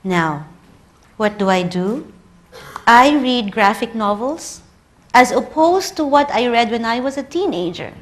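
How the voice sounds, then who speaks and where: A woman's voice: a little high, expressive, measured pace. A woman in her thirties with a Filipino accent, a native Tagalog speaker, giving a conference lecture and telling personal stories with light humor. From Philippines. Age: 30s.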